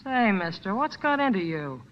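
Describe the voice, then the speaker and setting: An actress playing a cool, sly young drifter with a deadpan delivery in 1940s-style radio drama. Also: female voice, mid-range voice, normal speed, lightly acted. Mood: guarded. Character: cool, sly, self-possessed young American drifter woman. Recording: muffled, hissy old radio recording.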